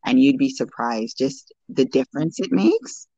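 A woman's voice: extremely low pitch, expressive, measured pace, warm tone, muffled recording. A woman in her forties, a nurse, chatting casually and giving practical advice, laughing easily. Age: 40s. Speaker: nurse and guest. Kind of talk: casual podcast chat.

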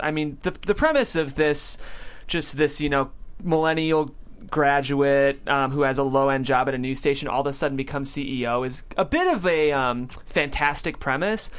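A man's voice: fairly high pitch, fairly bright tone, clean tone. A man hosting a casual interview, talking in an encouraging, validating way. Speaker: host interviewing a screenwriter.

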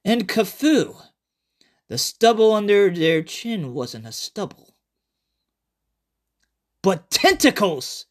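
A man's voice: very high voice, bright, forceful tone. A man reading his own short horror story aloud as a dramatic first-person narrator.

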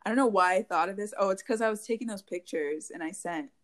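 A woman's voice: mid-range voice, fast. A young woman co-hosting a casual chat podcast, giggly and rambling with lots of fillers, talking into a handheld mic held close. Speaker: podcast co-host. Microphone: handheld mic held to mouth.

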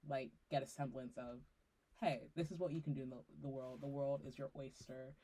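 Young woman, college student, chatty and animated, lots of "like", mid-pitched. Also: a little fast, poor audio. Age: young adult. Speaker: student guest, business major. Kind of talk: casual chat. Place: college podcast recording, indoors.

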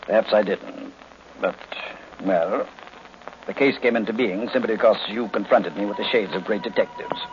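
A low-voiced, grumpy genius detective played by a man in an old-time radio mystery. He speaks in formal, curt, pompous sentences. The vintage recording is dull, with some background noise. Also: moderate pace, close to an everyday voice. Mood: self-satisfied. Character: brilliant, grumpy, pompous male detective boss.

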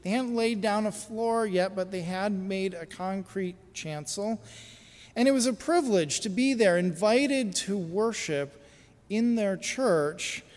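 High-voiced pastor in a reverberant sanctuary. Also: male voice, darkish tone. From United States. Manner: conversational storytelling with frequent filler pauses. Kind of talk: sermon with personal story.

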